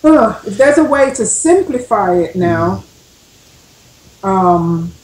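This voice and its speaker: A woman's voice: mid-range voice, big pitch swings, slow, a little mellow. A woman with a legal background, talking casually as a panelist in a discussion. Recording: roomy, echoing sound.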